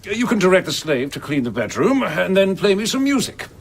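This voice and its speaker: An actor playing a haughty, domineering husband and slave owner in an audio drama, imperious and contemptuous. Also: male voice, sits high, sing-song, fairly quick, full character voice. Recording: clear background noise.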